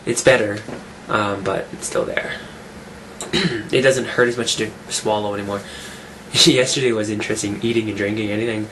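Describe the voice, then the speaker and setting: A man talking casually in a vlog, rambling and restarting his sentences, his voice rough from a cold. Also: on the low side, fairly even intonation, normal speed, a bit bright, a little hiss or hum, poor audio. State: getting over a cold, rough voice. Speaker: fitness vlogger. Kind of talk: casual weekly update vlog.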